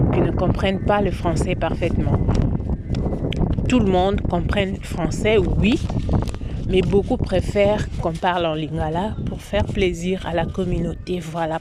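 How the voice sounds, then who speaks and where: A woman's voice: fairly low pitch, very noisy. A woman from Congo, hosting a commentary vlog in a preachy, moralizing way that repeats its points. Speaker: YouTube channel host.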